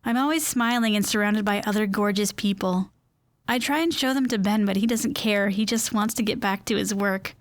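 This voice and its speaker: A woman's voice: medium pitch, normal speed, no echo. Bubbly woman hosting a fictional radio show. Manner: bubbly, chatty, rambling asides. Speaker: in-world radio host. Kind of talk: scripted audio drama narration and monologue.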